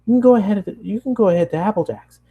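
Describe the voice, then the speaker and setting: A man voicing a bubbly, chattering, excitable young female cartoon pony who works as a baker. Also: sits high, slightly rough, muffled recording, voice pushed up. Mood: excited. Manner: rapid-fire, gushing, exclamatory chatter.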